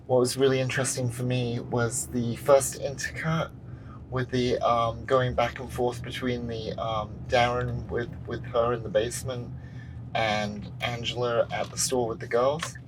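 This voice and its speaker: A man hosts a casual vlog with an upbeat, enthusiastic manner and a habit of repeating phrases. His pitch is middling, with faint ambient noise behind him. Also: flat delivery, a little slow. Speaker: host, film director. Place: out and about after packing up car.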